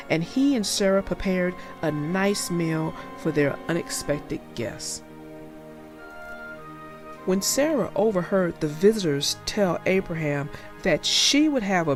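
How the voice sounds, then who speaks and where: Female read-aloud narrator for children's stories; unhurried, deliberate, faint music bed. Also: fairly low pitch. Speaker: storybook narrator.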